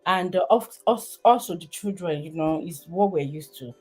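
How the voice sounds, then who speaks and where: A woman's voice: lowish voice, normal speed, dark tone, slightly rough, soft background music. A woman in her thirties from Nigeria with a Nigerian accent, chatting casually on a livestream and rambling with many fillers and restarts. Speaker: livestream host.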